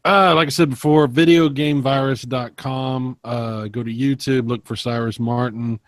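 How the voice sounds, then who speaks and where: A man's voice: medium pitch, steady tone, normal speed, dry recording, clean studio sound. A man talking casually as a panelist on a podcast. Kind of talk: casual chat.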